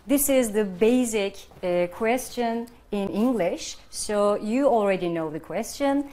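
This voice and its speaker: A woman English teacher, a native Turkish speaker, answering in a measured classroom role-play. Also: mid-range voice, lively intonation, mellow. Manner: measured, answering with example questions.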